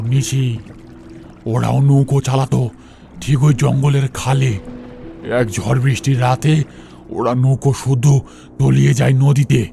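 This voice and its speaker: A man plays a mysterious boatman's ghost from a cartoon horror tale, with a heavily gravelled, dark voice. He is fatalistic and evasive, humbly rustic in speech, repeating his phrases over faint music. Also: mid-range voice. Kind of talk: Bengali animated ghost story acting. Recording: music under the voice.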